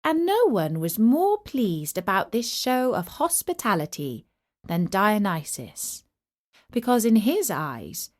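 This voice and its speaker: A woman narrating a scripted story for children in an expressive, measured and deliberate storyteller's manner. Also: mid-range voice.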